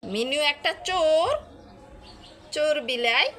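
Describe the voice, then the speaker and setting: A woman's voice: sits high, lively intonation, slow, a bit bright. A woman with a Bangladeshi accent narrating her daily life at home in an informal, chatty, colloquial way. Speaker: home vlogger. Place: at home, kitchen and balcony.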